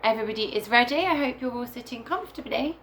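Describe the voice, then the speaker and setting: A woman teacher reading a picture book aloud to young children in a deliberate, animated storytelling way. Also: fairly high pitch, slight background noise. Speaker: primary school teacher reading to her class.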